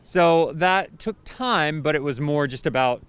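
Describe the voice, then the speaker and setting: A man from Arkansas answering street interview questions in a relaxed, chatty way. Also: a little high, a little slow, clear, resonant tone. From Arkansas, United States. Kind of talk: casual answers.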